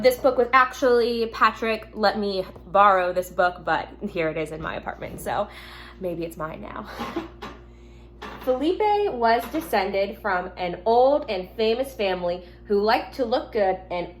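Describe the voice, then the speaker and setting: A woman teacher speaking gently and simply to young children, reading a picture book aloud at home with casual asides. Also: a little high. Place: at home in an apartment. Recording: noticeable background noise, home recording.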